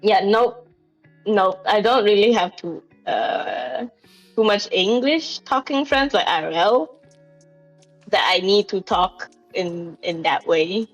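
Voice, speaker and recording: A young woman from Malaysia chatting casually as a guest, often halting and repeating words. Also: medium pitch, animated, highs cut off, faint music behind. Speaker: podcast guest. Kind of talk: casual chat.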